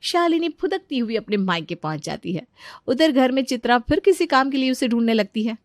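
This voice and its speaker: A woman's voice: fairly high pitch, lively intonation, fairly quick, no echo, studio quality. A woman delivering scripted storytelling narration as the narrator of a family tale.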